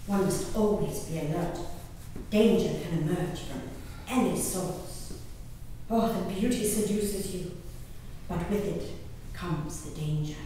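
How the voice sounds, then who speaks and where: An actress plays a spirited, genteel colonial lady performing her diary on stage. Her voice is fairly high and very animated, with prim, old-fashioned phrasing and dramatic pauses. It carries through a strongly reverberant hall. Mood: alarmed, grave, then awed. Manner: prim, emphatic, savoring pauses, old-fashioned formal diction. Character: genteel, spirited, haughty English colonial gentlewoman diarist. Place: indoor hall, live performance recorded from a distance. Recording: roomy echoing hall, distant mic, faint noise.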